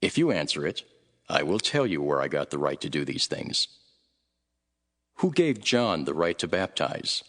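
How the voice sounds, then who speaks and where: An actor playing a calm, authoritative male teacher and prophet in a dramatized scripture reading. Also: a bass voice, normal speed, heavily acted. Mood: assured.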